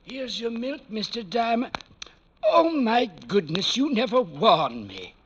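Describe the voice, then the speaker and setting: A prim male servant in an old radio drama, with a high, very varied voice. He is formal, fussy and eager to oblige. The recording is dull, with music behind. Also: sing-song, takes it slowly, fairly bright tone, slight background noise, exaggerated voice. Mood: flustered. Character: prim, deferential, easily flustered male butler. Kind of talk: 1940s radio drama acting.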